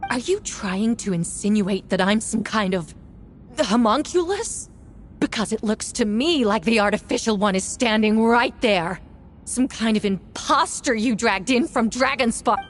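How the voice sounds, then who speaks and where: A woman's voice: on the high side, lively intonation, measured pace, slight background noise. A woman voicing an imperious female knight in a video game, accusing theatrically and growing frantic. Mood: indignant. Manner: theatrical, forceful courtroom accusing. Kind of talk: dramatic video game voice acting.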